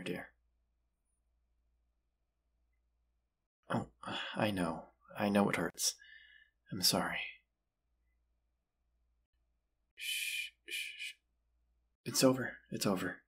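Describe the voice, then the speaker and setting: A man playing a gentle, forgiving vampire hunter and lover in an intimate audio roleplay, speaking tenderly with hesitant pauses. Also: deep voice, vocal fry, husky, heavily acted. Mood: tender, soothing.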